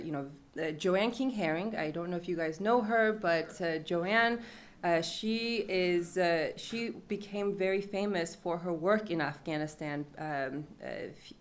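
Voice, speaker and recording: Young woman, low register, loose conversational storyteller addressing an audience. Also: a little slow. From Iran. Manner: conversational, with fillers and laughs. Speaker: panel speaker. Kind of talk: storytelling talk at a panel event.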